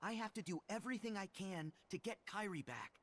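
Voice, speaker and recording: A man's voice: high-pitched, fairly quick, fairly bright tone. A man voicing an earnest, stubbornly hopeful teenage boy hero in a video game cutscene. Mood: determined. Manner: earnest, determined.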